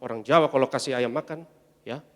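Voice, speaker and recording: Emphatic pastor in a reverberant hall. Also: male voice, medium pitch, a little fast. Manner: emphatic preaching, swelling loud on refrains, calls for amen. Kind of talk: sermon. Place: large church hall. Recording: long church-hall reverb.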